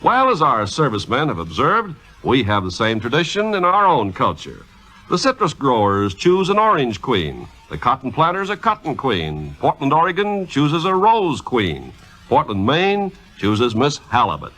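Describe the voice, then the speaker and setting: A man's voice: medium pitch, wildly swooping pitch, moderate pace, pushed and bright. A man reading scripted 1950s radio narration in a formal, declamatory manner. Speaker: radio intermission speaker.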